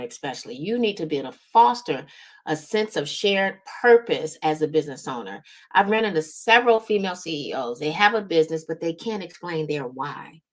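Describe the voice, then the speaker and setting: Low-voiced woman coaching conversationally. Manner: conversational, emphatic repetition, frequent check-in tags like right and okay. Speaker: business strategist hosting a talk. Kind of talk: motivational business coaching talk to online audience.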